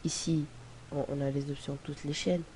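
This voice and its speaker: Young guy with a cold, slightly high and mellow, rambling tutorial voice-over, faint hiss. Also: little pitch movement, moderate pace. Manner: rambling, hesitant, many fillers and restarts. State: has a cold. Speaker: tutorial presenter. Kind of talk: casual screen-recording tutorial. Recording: faint hiss on home recording.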